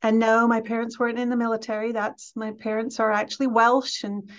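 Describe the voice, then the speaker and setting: A woman's voice: on the high side, little pitch movement, a little fast. A middle-aged woman from England, a college president speaking as a guest on a video call, in a friendly, chatty way with many ums and restarts. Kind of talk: casual talk on a video call. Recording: dull lo-fi video call audio.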